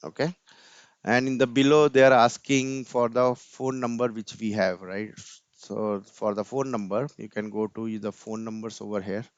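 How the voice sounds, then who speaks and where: Low-voiced man giving a software tutorial. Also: fairly even intonation, moderate pace, clean tone, no room sound, highs cut off. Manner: step-by-step, often checks with "right?". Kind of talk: informal screen-share coding tutorial.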